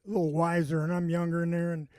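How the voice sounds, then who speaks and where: A man's voice: fairly high pitch, fast, no room sound, clean studio sound. A man telling comedy stories in casual chat, acting out what people said. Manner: animated, acts out quotes. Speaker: comedian guest. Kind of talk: casual storytelling.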